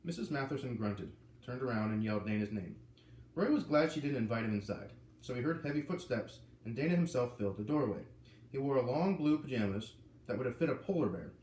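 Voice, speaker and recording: A man reading a children's novel aloud, lively and putting on voices for the characters. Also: mid-range voice, a little fast, far from the mic. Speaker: reader. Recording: echoey room with faint ambient noise.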